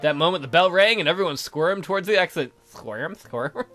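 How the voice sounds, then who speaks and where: A young man reads a visual novel aloud for a let's play. His voice is bright and highly animated, and he keeps breaking off into playful asides. Faint music plays behind him. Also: normal speed. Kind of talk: reading visual novel aloud with joking commentary.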